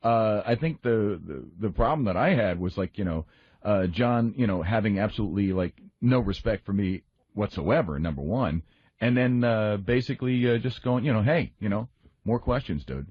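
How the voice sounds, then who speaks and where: A man in a casual radio discussion, speaking in a halting, rambling, aggrieved way. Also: on the low side, animated, husky, dry recording. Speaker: radio show staff member and comedy writer. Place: radio studio. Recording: slightly dull, lo-fi radio audio.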